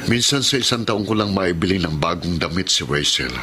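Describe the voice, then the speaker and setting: A man's voice: low voice, expressive, brisk, pushed and bright, a little hiss or hum. A man playing a humble, self-doubting working father in a Filipino radio drama, earnest and plain-spoken. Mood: resigned, self-doubting.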